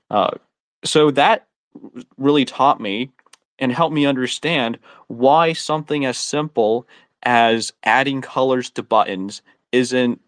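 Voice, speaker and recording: A man's voice: mid-range voice, no room sound. A man co-hosting a casual tech chat, talking hesitantly with many restarts. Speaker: panel co-host.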